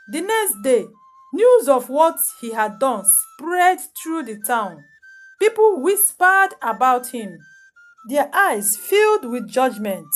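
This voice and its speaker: A woman narrating a scripted folktale as a storyteller, solemn and dramatic, in short deliberate phrases. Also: high voice. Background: music under the voice.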